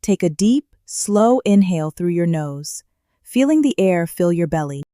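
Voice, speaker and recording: A woman leading a guided meditation in a calm, gentle way, with slow soothing instructions and long pauses. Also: on the low side, no echo, clean studio sound.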